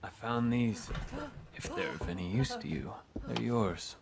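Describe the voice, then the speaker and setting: An actor playing a brooding, enigmatic young man in a video game cutscene, speaking in a measured, solemn, breathy way. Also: on the low side, animated, a crowd in the background. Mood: composed. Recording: noisy game cutscene audio.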